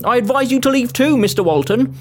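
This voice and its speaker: Woman doing a low-pitched, deferential male foreman. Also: animated, fairly quick, darkish tone, slight background noise, lightly acted. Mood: deferential, pitying. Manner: deferential, blunt. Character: apologetic but firm working-class male expedition foreman. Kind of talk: comic period audio drama.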